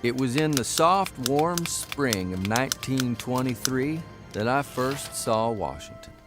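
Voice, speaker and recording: A man's voice: mid-range voice, lively intonation, unhurried, music in the background, close to an everyday voice. An actor playing a wry, folksy newspaper columnist who tells his own stories in a plain-spoken, conversational way for an audio drama. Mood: reminiscing. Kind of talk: first-person storytelling in audio drama.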